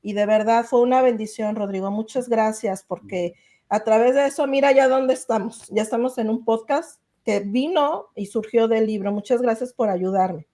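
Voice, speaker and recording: A woman hosts a faith-based podcast interview in a fairly high, mellow voice. She talks effusively and gratefully, often pausing on "eh.". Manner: warm, effusive, grateful, with many "eh" fillers. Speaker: podcast host. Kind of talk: casual interview chat.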